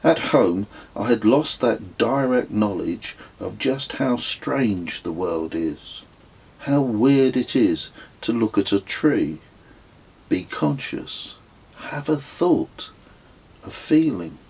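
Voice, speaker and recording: A middle-aged man narrates a reflective travel memoir in his own words. His voice is fairly low and husky, and he takes his time over each phrase. The delivery is measured and literary, with a dry, wry edge. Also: expressive, a little mellow, poor audio. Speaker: author reading own memoir.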